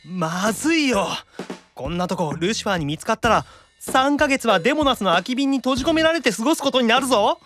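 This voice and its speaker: Man voicing high, panicky young demon man. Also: lively intonation, fairly quick, a bit bright, faint music behind. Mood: anxious, panicky. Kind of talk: improvised audio drama acting.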